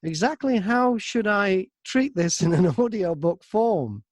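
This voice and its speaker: A man's voice: high voice, lively intonation, a little slow, dry recording. A man who narrates audiobooks, chatting casually as an interview guest and acting out his thoughts and messages as he tells stories.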